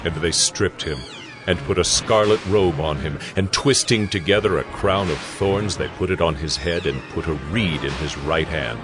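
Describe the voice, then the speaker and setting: A man narrating a dramatized audio Bible in a solemn, measured storytelling manner. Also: low-pitched. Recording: music under the narration.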